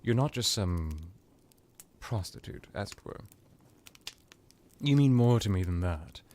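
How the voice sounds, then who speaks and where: A man voicing a seductive, menacing vampire necromancer in an intimate ASMR hypnosis roleplay, purring deliberately and slipping into whispers. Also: fairly low pitch, expressive, dark tone. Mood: condescending, possessive.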